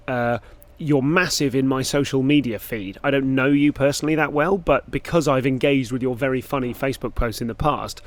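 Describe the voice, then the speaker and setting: A man, a comedian, recording a question for another guest, rambling and breaking off mid-sentence. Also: mid-range voice. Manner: rambling, self-interrupting.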